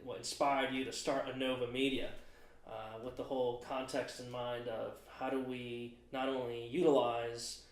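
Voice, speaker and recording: A man's voice: medium pitch, little pitch movement, normal speed. Rambling young man in a roomy space. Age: 20s. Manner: rambling, thinks aloud with many fillers. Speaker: podcast host. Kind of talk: casual chat. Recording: roomy echo.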